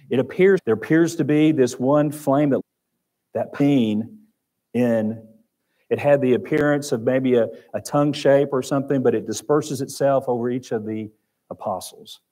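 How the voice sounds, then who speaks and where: A man's voice: mid-range voice, measured pace, mellow. A man with an Oklahoma accent teaching a Sunday Bible class in a church room, talking conversationally and repeating phrases. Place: church classroom with projector screen.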